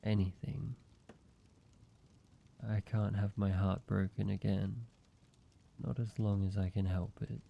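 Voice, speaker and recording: A man playing a lonely, courteous, softly wry vampire in a cozy audio roleplay, speaking in a measured, intimate way with pauses. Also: fairly low pitch, flat delivery, gravelly, dull audio, heavily acted. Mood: tender, vulnerable. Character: lonely, gentle, teasing male vampire, centuries-weary and courteous. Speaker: amateur voice actor. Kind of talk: cozy scripted audio roleplay, addressed to one listener.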